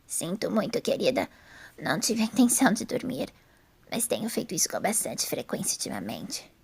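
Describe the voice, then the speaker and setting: Woman acting a breathy, frail old lady. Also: medium pitch, huge pitch swings, a little mellow, whispered, heavily acted. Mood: apologetic, serene. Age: older. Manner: slow, serene, forgetful. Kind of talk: audio drama character voice.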